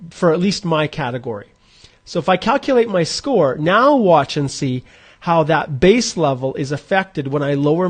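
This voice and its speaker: A man in his forties hosting a live Q&A, chatting informally and thinking aloud as he walks viewers through an online points calculator. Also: on the high side. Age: 40s. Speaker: immigration advisor hosting a live Q&A. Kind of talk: live-streamed explainer walking through a calculator.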